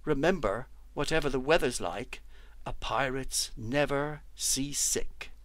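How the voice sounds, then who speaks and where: A man giving an expressive poetry recital with careful, deliberate articulation. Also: medium pitch, no echo. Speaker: poetry reader who has trained actors.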